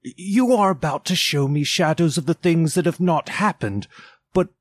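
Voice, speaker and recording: A man's voice: a little high, normal speed, no room sound, voice pushed up, close to an everyday voice. A man voicing an old male miser who pleads with a spirit in strained, anxious questions. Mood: fearful.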